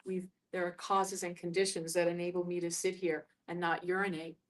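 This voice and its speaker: A middle-aged American woman from New York facilitating a group discussion, musing aloud and telling personal stories in halting phrases. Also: fairly low pitch, little pitch movement, recorded from a distance. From New York, United States.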